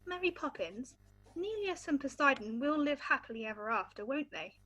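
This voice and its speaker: A woman's voice: high voice, expressive, normal speed, pushed and bright, muffled recording, soft background music. A woman playing an earnest, wondering young girl in an amateur script read-through. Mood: hopeful.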